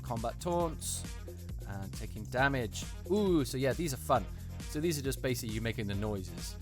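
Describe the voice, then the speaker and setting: A man hosting an unscripted video, giving casual advice and commentary in a hesitant, thinking-aloud manner. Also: mid-range voice.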